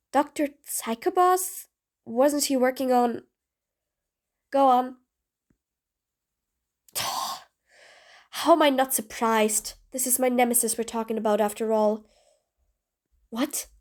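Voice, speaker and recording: A woman voicing a cool, blunt female supervillain in an audio roleplay, talking in clipped, commanding, sardonic lines. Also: high-pitched. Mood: brisk. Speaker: voice actor. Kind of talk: audio roleplay, one side of a dialogue.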